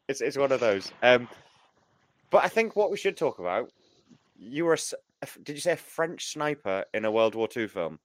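A man's voice: medium pitch, sing-song, normal speed, dry recording. A man hosting a podcast, chatting informally and jokingly as he interviews a guest in a rambling way. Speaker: podcast host. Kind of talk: casual chat, interviewing.

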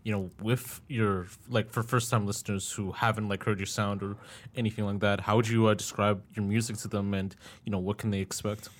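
A young man hosts a casual podcast interview. His voice sits low and stays flat, and he rambles through loose, filler-heavy questions in a laid-back way. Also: brisk. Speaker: podcast host.

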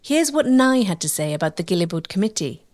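A woman giving measured, scripted narration for a history documentary. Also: medium pitch, sing-song, darkish tone.

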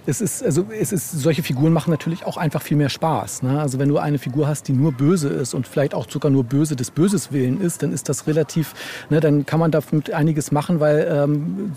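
A man's voice: a little high, dark tone, slight background noise. A man speaking as a novelist in a casual podcast interview, explaining in a rambling way with frequent tag questions. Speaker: novel author being interviewed.

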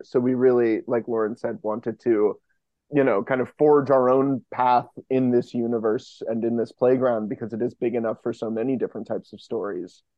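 A man's voice: medium pitch, no room sound. A man answering as an interview guest, conversational and analytical, building his points emphatically in parallel phrases, on a dull-sounding recording. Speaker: podcast guest, fiction podcast co-creator.

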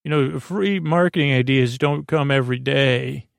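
A man hosting a podcast with a goofy, improvised bedtime monologue, halting and meandering as he repeats himself and trails off mid-word. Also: mid-range voice, gravelly, no room sound, studio quality. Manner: goofy, silly, self-deprecating. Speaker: podcast host.

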